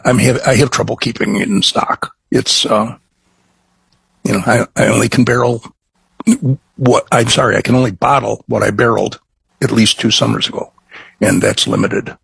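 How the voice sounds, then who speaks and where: A middle-aged man from Chicago with a Chicago accent, chatting as a guest in an interview, halting with many restarts and fillers. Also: mid-range voice, little pitch movement, gravelly, a bit bright, husky, dry recording, low-quality recording. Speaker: craft distiller guest. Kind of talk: casual interview chat.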